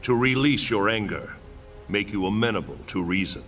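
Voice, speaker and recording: A man's voice: fairly low pitch, lively intonation, moderate pace, fairly bright tone, soft background music. A man playing a solemn, wise thunder god mentor in a video game, speaking with formal, grave diction. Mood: grave encouragement. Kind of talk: dramatic video game cutscene acting.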